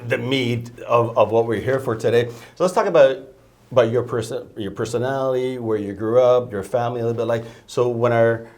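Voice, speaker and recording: A man hosting a studio interview show, opening formally and interjecting with frequent hesitations and quick affirmations. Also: mid-range voice, fairly even intonation, a little mellow. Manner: formal introductions, frequent "uh" and "excellent". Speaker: show host.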